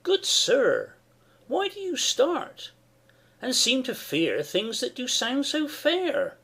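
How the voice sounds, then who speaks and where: A man's voice: extremely high pitch, wildly swooping pitch, slow, creaky, bright, forceful tone, husky, full character voice. A man playing a noble, questioning soldier, declaiming in a dramatic Shakespeare reading. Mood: challenging.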